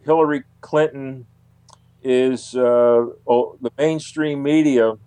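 A man answering as an interview guest on radio, haltingly searching for his words. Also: mid-range voice, fairly even intonation, warm tone, clear, resonant tone. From United States. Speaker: guest, UFO researcher.

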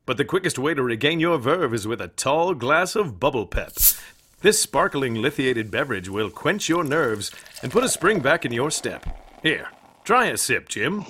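A man acting as a suave, dramatic old-time radio host, narrating with polished, deliberate delivery. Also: mid-range voice, very animated, sharp tone, husky, exaggerated voice. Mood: salesmanlike. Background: music.

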